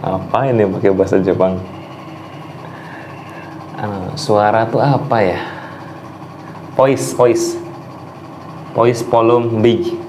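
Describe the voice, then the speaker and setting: A man with a fairly low voice leads a casual question-and-answer session. He speaks as a host. Faint vehicle noise runs behind him. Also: steady tone, slow, long pauses. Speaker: host, spiritual practitioner questioning a channeled guest. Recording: noisy recording with vehicle rumble.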